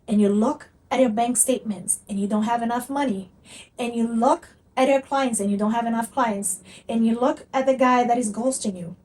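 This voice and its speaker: A woman with a Brazilian Portuguese accent talks straight to her viewers, earnest and emphatic, circling back to the same points. Her voice sits fairly high and is picked up from a little way off the mic. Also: normal speed, a little mellow, distant mic, poor audio. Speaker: manifestation coach. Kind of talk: teaching monologue to camera.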